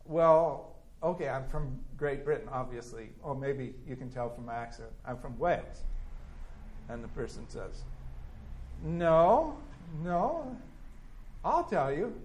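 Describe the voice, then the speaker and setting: A man teaching a Bible class on a pastor's biography, reading passages aloud and commenting in a lively, conversational way with many restarts. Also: medium pitch, takes it slowly, a little hiss or hum, low-quality recording. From California. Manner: conversational, animated, acts out quoted voices, many restarts and fillers.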